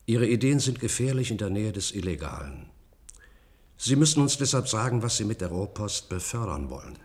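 A man's voice: on the low side, sing-song, a little fast, darkish tone. An actor playing a stern, suspicious male government commissioner in a radio drama, formal, officious and emphatic.

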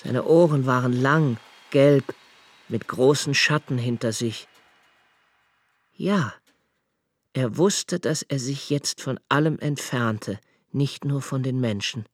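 Slow, anguished, brooding writer. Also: male voice, medium pitch. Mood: grave, intense. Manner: slow, deliberate, halting phrasing with intense emphases. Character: anguished, brooding male writer confessing his dread. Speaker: radio drama actor. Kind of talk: literary radio play monologue.

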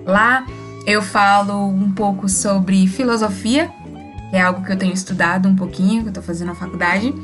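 A woman's voice: mid-range voice, fairly even intonation, normal speed, fairly bright tone, soft background music. Friendly Brazilian woman promoting a podcast. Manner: friendly, conversational. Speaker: podcast host.

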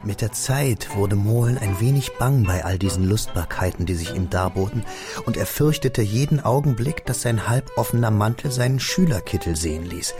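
A man narrates a literary radio drama in a lowish register. His reading is composed and measured, scripted throughout. Music runs clearly behind the voice.

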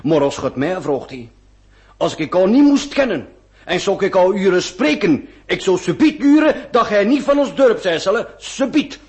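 Comic radio drama: simple Flemish villager, protesting and deferential, higher pitch, bouncy intonation. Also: male voice, sing-song, normal speed, darkish tone. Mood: defensive. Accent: Flemish dialect. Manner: rustic speech with repeated tag words. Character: folksy, deferential village man, protesting innocence. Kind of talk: comic radio play acting.

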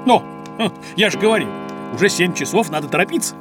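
A man playing a kindly, amused gentleman scholar in a children's audio play, inquisitive and gently indulgent. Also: sits high, animated, fast talker, over music. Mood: indulgent, busy.

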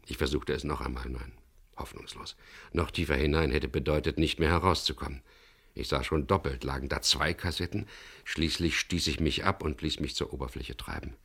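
A man's voice: a bass voice, a bit bright, exaggerated voice. An actor playing a tough, wry boat captain who narrates his own adventure tersely in a 1970s German radio crime drama.

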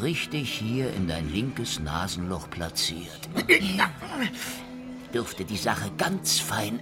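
An actor playing a sardonic, menacing private detective in a noir radio drama, with a clipped tough-guy delivery. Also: on the low side. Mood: sardonic menace.

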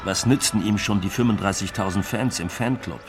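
A man's voice: lowish voice, brisk, music in the background, close to an everyday voice. An actor playing an earnest male showbusiness colleague who looks back with concern in a radio play. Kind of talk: radio play documentary monologue.

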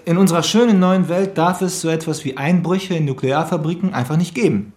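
A man's voice: on the high side, a little fast, a little mellow, natural voice. An actor playing a male police analyst in a radio crime drama, explaining things in a matter-of-fact, slightly sardonic way.

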